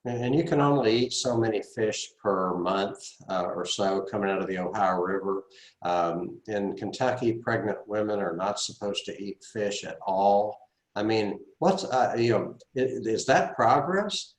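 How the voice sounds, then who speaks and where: A man's voice: lowish voice, unhurried. A man hosting a talk show, asking wry, sarcastic questions. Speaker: host. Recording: echoey room.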